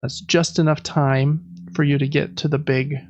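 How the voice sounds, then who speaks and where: A man's voice: fairly high pitch, little pitch movement, moderate pace, a little mellow, no room sound, muffled recording. A man hosting a casual gaming podcast, reading through news lists in a chatty, informal way with personal asides. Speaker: podcast host.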